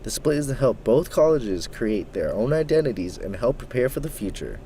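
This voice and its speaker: A young man narrating scripted student news reports as the reporter, in an even, measured delivery. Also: mid-range voice, a little hiss or hum. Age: young adult.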